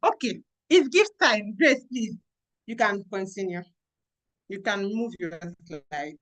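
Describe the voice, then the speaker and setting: A woman from Nigeria with a Nigerian English accent, moderating an online training session in a lively, exclamatory coaching manner over a video call. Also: medium pitch, slow pace, rough recording.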